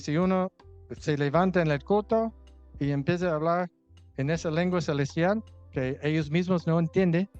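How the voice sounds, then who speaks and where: Hombre que explica con calma y se corrige. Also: male voice, fairly high pitch, lo-fi sound. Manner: explains slowly, restarts words, asks for feedback. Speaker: translation consultant leading a session. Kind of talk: teaching a Bible translation workshop.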